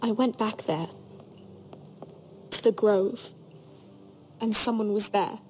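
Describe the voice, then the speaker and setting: A woman's voice: mid-range voice, takes it slowly, lightly acted. A woman acts as a grave teenage girl of a noble house in a fantasy game drama. She speaks with earnest, troubled urgency and intensity, with music playing behind her. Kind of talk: dramatic video game acting.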